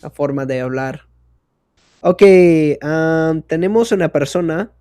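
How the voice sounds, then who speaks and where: Podcast host, male, learner, slow deliberate pace, dry studio sound. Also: fairly high pitch, a little mellow, no room sound. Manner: slow, searching for Spanish words. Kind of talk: casual chat.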